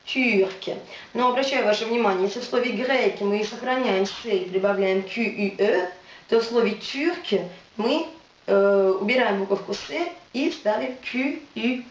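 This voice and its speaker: A woman teaching French in a video lesson, patiently spelling out words and saying them slowly for the listener to repeat. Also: medium pitch. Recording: roomy, distant, dull with faint hiss.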